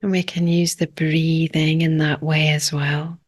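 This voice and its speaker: A woman leading a guided meditation in a calm, unhurried manner, speaking in short phrases with long pauses. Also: low voice.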